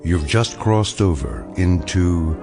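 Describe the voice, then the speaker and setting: A man narrating eerie radio drama as a grave, ominous storyteller, measured and portentous. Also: low-pitched, lively intonation, over loud music. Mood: mysterious, solemn.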